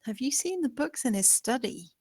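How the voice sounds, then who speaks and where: A woman's voice: a little high, lively intonation, normal speed, darkish tone, no room sound, studio quality. A woman playing a defiant female servant who questions earnestly and pointedly in a gothic drama table read. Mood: accusing, defiant.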